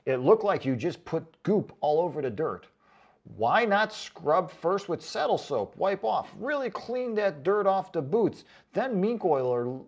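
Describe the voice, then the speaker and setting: A man hosting a casual vlog, reading out comments in a chatty, joking way with sarcastic asides and drawn-out comic emphasis. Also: a little high. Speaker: online boot reviewer and video host.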